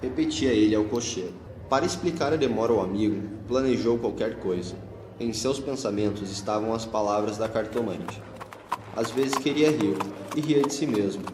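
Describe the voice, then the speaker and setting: A man's voice: on the low side, moderate pace, darkish tone, a little hiss or hum. A Brazilian man narrating a scripted radio drama. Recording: echoey hall, long reverb, music bed. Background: music.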